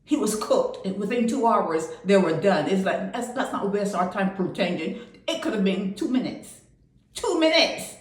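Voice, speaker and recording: A woman giving impassioned solo commentary, firing indignant rhetorical questions that leap up sharply in pitch. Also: lively intonation, moderate pace, roomy sound.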